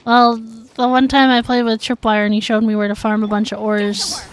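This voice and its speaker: A woman with a slightly high voice chats casually as she streams a video game. She is talkative and easily amused, with a giggly, informal way of talking to her viewers. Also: monotone, normal speed, no room sound. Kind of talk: casual livestream gameplay chat.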